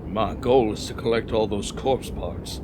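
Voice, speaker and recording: A man's voice: on the low side, measured pace, lightly acted. A man playing a grave, calculating president in an anime fan dub, a villain speaking in a formal, persuasive manner, bargaining for his life. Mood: solemn, persuasive. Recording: background noise behind voice.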